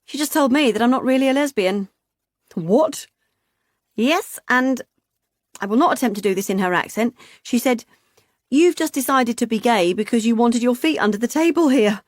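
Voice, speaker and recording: A woman reader voicing a hearty, loud middle-aged woman, talking high and breezily in an audiobook. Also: lively intonation, normal speed, no echo, studio quality, close to an everyday voice. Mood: booming, jolly.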